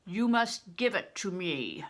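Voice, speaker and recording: A woman's voice: medium pitch, very animated, slow pace. An older woman voicing a hostile male steward, a storybook villain who gives commanding, clipped demands. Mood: menacing, angry.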